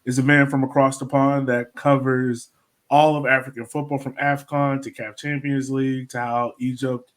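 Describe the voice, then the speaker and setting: A man's voice: mid-range voice, little pitch movement, moderate pace. A man hosting a podcast in an informal, slangy, conversational interview style. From Jamaica. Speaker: podcast host. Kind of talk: casual podcast interview chat.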